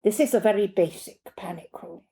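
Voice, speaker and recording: A woman's voice: mid-range voice, moderate pace, dark tone, close to an everyday voice. A woman voicing a pompous, snappish male butler in a literary story reading, condescending and florid in speech. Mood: lecturing. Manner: pompous, clipped, lecturing.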